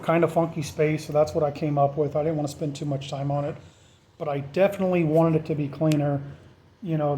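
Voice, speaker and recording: Casual man with a mellow, higher-pitched voice. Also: slight background noise. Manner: informal, thinking aloud. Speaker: DIY vlogger. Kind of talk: casual off-the-cuff how-to narration.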